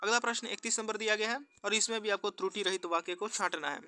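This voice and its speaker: A man's voice: high-pitched, fast talker, pushed and bright, a little hiss or hum. A man working through exam practice questions as a teacher, with an instructional, formulaic question-and-answer delivery. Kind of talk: scripted quiz walkthrough lesson.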